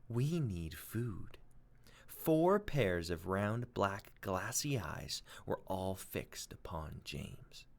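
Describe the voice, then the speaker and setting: A man reading aloud as a teacher, expressive and dramatic, with emphatic pauses. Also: low voice, gravelly. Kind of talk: expressive storybook read-aloud and lesson.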